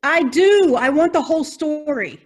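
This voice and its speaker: Woman acting pushy nosy reporter lady, really high voice, amateur farce. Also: expressive, a little slow. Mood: pressing, skeptical. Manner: inquisitive, pressing. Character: nosy, insistent female reporter with theories. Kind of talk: amateur stage farce acting over video.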